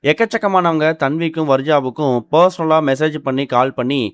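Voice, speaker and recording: A man's voice: on the high side, brisk, clean tone, no room sound. A man reading a scripted entertainment news voice-over as narrator.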